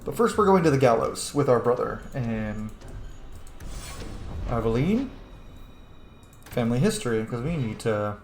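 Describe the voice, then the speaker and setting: A man's voice: medium pitch, big pitch swings, measured pace, pauses often, a little mellow. A man who streams games, giving casual, opinionated and sweary gameplay commentary. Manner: opinionated, profane. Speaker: game streamer. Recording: roomy sound with faint game music.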